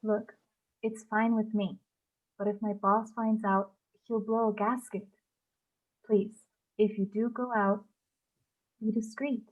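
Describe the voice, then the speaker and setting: Dull, lo-fi audio carries a woman acting as a kind, tactful stable worker in a script read. Her voice is medium in pitch, with a gentle and careful delivery. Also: level tone, slow, pauses often. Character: kind, tactful woman working at an equestrian center. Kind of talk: screenplay table read acting. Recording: dull, lo-fi sound.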